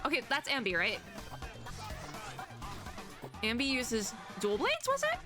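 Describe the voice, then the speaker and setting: A woman doing casual reaction commentary as a VTuber, chatty, expressive and slangy. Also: high-pitched, takes it slowly, over music.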